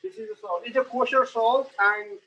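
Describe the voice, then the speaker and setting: A man from Nepal with a Nepali accent, giving a live cooking demonstration and narrating each step in halting, plain English. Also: very high voice, steady tone, muffled recording. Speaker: home cook giving a cooking demo.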